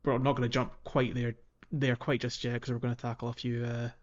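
Guy hosting a casual gaming podcast, medium pitched voice, a bit hesitant, slightly muffled lo-fi sound. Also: flat delivery, fairly quick. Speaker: podcast host. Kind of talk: casual video game discussion.

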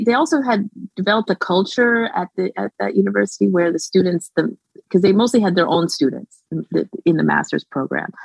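Guest academic, female, Canadian with a slight Jamaican accent; low and gravelly, rambles with fillers and quick laughs. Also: dry recording, poor audio. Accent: Canadian, light Jamaican. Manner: rambling, many restarts and fillers, frequent laughs. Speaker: guest scholar. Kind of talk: casual interview conversation.

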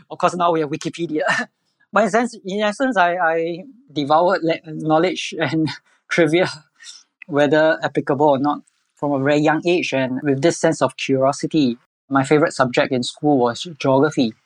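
Male podcast guest, Singaporean accent. Conversational, unhurried phrasing with hesitations, very little room sound. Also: mid-range voice, no echo. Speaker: podcast guest, environmental educator. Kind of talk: conversational interview answers.

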